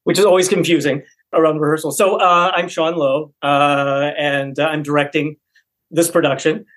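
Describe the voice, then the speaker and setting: A man's voice: on the high side, fairly even intonation, moderate pace, a bit bright, low-quality recording. Enthusiastic man with lots of fillers. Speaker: theater director. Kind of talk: interview guest.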